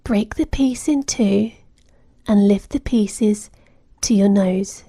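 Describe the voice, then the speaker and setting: Slow, breathy woman guiding meditation. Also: medium pitch, no room sound, clean studio sound. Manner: soothing, gentle, measured instruction with soft breathy phrase endings. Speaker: meditation guide. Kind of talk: guided meditation narration.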